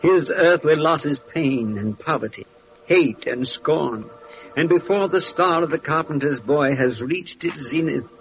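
Grave magus, muffled old radio. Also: male voice, on the high side, unhurried, soft background music. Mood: grave foreboding. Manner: solemn, portentous. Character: grave Persian magus, male astrologer foretelling doom. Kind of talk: old-time radio acting. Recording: muffled noisy vintage radio recording.

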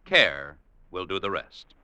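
A man working as a 1950s radio announcer, reading in a crisp, formal, emphatic style. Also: sits low, very animated, slow pace, sharp tone, dry recording. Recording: vintage radio broadcast.

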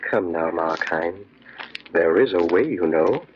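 A sinister tempter speaks very low, slow and insinuating, commanding like an evil conscience. It is old radio horror acting on a muffled, crackly recording. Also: male voice. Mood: insidious coaxing. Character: sinister tempting inner voice urging a man to crime.

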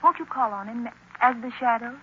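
A woman's voice: fairly high pitch, a little fast, natural voice. A woman playing an earnest, inquisitive society companion in a 1930s radio drama, questioning and pleading. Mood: curious, pleading. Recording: noisy muffled 1930s radio recording.

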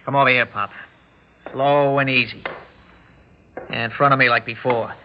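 An actor playing a threatening young armed robber in a classic radio drama, talking in tough, slangy, ungrammatical street talk. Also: male voice, mid-range voice, fairly even intonation, normal speed, slight background noise, lightly acted. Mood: threatening, wary. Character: jittery young male armed robber holding hostages, threatening. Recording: muffled old radio broadcast.

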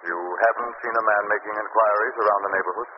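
A man playing a calm wry detective. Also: fairly low pitch, natural voice. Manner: measured, dry, commanding. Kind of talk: 1930s radio drama acting. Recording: lo-fi noisy old radio recording.

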